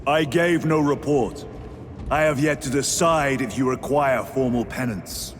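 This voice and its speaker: A man's voice: mid-range voice, slight background noise, lightly acted. Man voicing a terse, resolute lieutenant. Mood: steady. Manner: terse, measured statements. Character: resolute male space marine lieutenant, steady and controlled. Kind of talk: game cutscene dialogue. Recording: game audio with score underneath.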